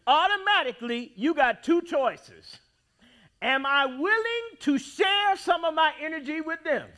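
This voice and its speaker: A man preaching a sermon in a church, with dramatic swings between loud outbursts and quiet, drawn-out phrases. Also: very high-pitched, lively intonation. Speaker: pastor. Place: church sanctuary.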